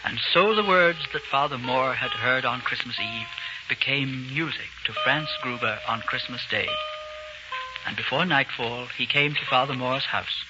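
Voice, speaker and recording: Solemn male radio narrator over loud music. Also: mid-range voice, normal speed. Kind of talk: 1940s radio scripted narration, storytelling. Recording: muffled 1940s radio recording with music.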